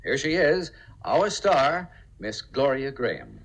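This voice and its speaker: A man's voice: mid-range voice, wildly swooping pitch, slow pace, a bit bright, low-quality recording. A man hosting and narrating a radio theater in a formal 1950s broadcast style. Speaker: theater director and host. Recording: vintage radio broadcast, faint music.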